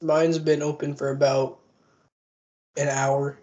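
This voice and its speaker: A man with a somewhat high voice chats in a laid-back, self-mocking way on a casual podcast. He laughs a lot. The recording is a bit dull. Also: flat delivery, slow, darkish tone. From United States. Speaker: podcast co-host. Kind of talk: casual chat.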